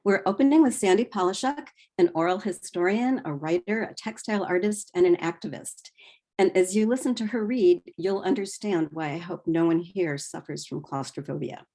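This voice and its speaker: A woman speaking as host at a literary reading, giving a measured, articulate prepared introduction. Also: on the low side, dark tone. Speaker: event host introducing readers.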